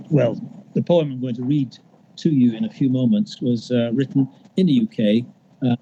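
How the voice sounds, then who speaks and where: A man with a Liverpool accent reads his poem aloud over a video call, pausing often as he talks. His voice is mellow and dark. The audio is dull and lo-fi, with faint hiss behind it. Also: medium pitch. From Liverpool, England. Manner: reflective, unhurried storytelling with pauses. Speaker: poet. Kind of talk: reading his poem at an online gathering.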